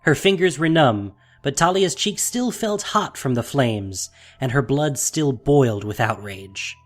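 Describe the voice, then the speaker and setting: Male narrator, medium pitch, dramatic scripted delivery for a fantasy audio story. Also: lively intonation, measured pace, soft background music.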